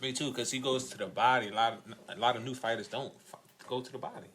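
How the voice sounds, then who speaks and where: A man's voice: medium pitch, fairly even intonation, fast talker, a bit bright, a little hiss or hum. An American man co-hosting a podcast in casual sports debate.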